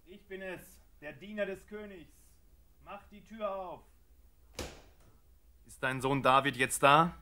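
A man's voice: high voice, expressive, slow, pauses often, noisy background. An actor playing a brusque, impatient king's servant giving orders in a biblical radio play. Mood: commanding. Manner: commanding, impatient. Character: brusque, imperious male servant of a tyrant king. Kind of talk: German radio play acting.

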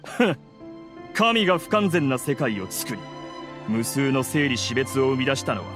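Haughty scholar villain, coldly defiant, theatrical, animated intonation, game dialogue, faint music. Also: male voice, medium pitch. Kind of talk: scripted Japanese game voice acting.

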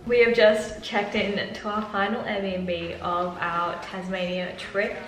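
A woman's voice: mid-range voice, level tone, slow pace, a bit bright. Bubbly young woman in an echoey room. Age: 20s. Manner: bubbly, giggly, chatty. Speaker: travel vlogger. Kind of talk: casual vlog chat to camera. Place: holiday rental house, indoors. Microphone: handheld camera at arm's length.

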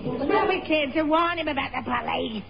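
A man's voice: extremely high pitch, normal speed, vocal fry, crowd noise. A man playing a dim, nervous young pal in a 1960s radio sitcom, stammering and getting muddled. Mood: anxious, muddled. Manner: stammering, flustered. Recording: muffled noisy old radio, studio audience.

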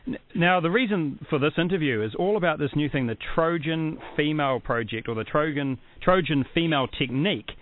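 A man's voice: on the high side, lively intonation, brisk, hardly any pauses. New Zealand man hosting, dull lo-fi sound. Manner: conversational, asks many questions. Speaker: hunting show host. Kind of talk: casual spoken commentary and interviewing.

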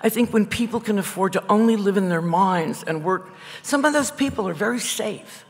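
An older woman painter with a loud voice, talking bluntly and wryly as the guest at a public conversation. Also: mid-range voice, normal speed. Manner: blunt, wry and digressive. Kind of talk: candid conversational talk at a public event. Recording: echoey hall.

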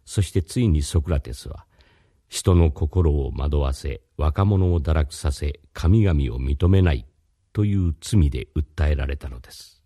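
A man's voice: extremely low pitch, animated, a little slow, dark tone, slightly rough, no echo, clean studio sound, heavily acted. A man playing a mysterious, courteous philosophy teacher, narrating in a scripted radio drama. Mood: solemn, explanatory.

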